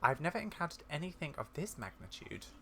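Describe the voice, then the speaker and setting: A gamer putting on a genteel older witch, bright-toned. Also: medium pitch, very animated, fast, vocal fry, a little hiss or hum. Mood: musing, grave. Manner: genteel, fond, musing. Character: kindly, chatty, scholarly older witch woman. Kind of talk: video game dialogue read aloud.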